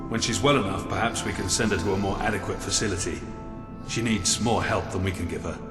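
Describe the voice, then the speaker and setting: A man plays a grim, scheming prison officer in a game. His voice is low, measured and serious, ringing in a big echoey space with music underneath. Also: steady tone, distant mic, close to an everyday voice. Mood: grave, urgent. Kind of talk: video game drama acting.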